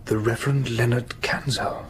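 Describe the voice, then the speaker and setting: A man acting as a troubled English clergyman in a radio play. He speaks in a mid-pitched voice with earnest urgency and a strong conscience. There is faint noise on the recording. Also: fairly even intonation, moderate pace, natural voice. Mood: cautious.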